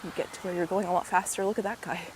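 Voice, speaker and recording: A woman, hoarse and a bit raspy from a cold, chatting casually as she walks through a park and vlogs on a handheld camera, often dropping into soft asides. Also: fairly even intonation, racing, a little hiss or hum. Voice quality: raspy, slightly deep. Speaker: walking vlogger. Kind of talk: casual walking commentary. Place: outdoors in a park while walking. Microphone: handheld gimbal camera mic. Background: faint outdoor ambience.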